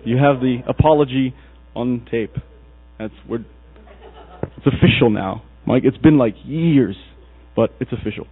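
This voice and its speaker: A man's voice: medium pitch, a little slow, long pauses. A man from Egypt preaching a sermon in a storytelling way, acting out the people in his stories. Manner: storytelling, animated, acts out quoted characters. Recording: husky voice over a tinny, dry, phone-like recording with mains hum.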